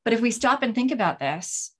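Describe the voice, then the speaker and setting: A woman in her forties hosting a podcast, talking candidly in a rambling way, with many restarts and a pace that swings between slow and hurried. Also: a little high, lively intonation, a bit bright, breathy edge. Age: 40s. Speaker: podcast host. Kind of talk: casual solo podcast talk.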